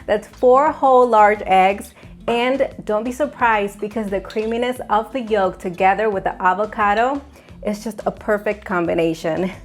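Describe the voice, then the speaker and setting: Upbeat woman host for a cooking lesson in a kitchen, clear instructive delivery, light music and clatter behind. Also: mid-range voice. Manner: upbeat, instructive, laughs often. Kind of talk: cooking demonstration. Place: home kitchen.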